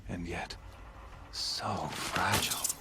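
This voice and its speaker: An actor playing a cold, scheming male villain who taunts in a quiet, measured, half-whispered way in a video game cutscene. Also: low-pitched, little pitch movement, noisy background. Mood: gloating.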